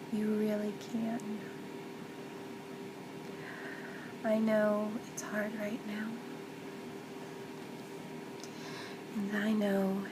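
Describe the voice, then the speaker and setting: A woman playing a gentle, comforting mother in a soft-spoken ASMR roleplay, soothing and tender, often slipping toward a whisper. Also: gravelly. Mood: soothing, loving. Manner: soothing, tender, reassuring, hushed with whispery stretches. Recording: loud background noise with low rumble.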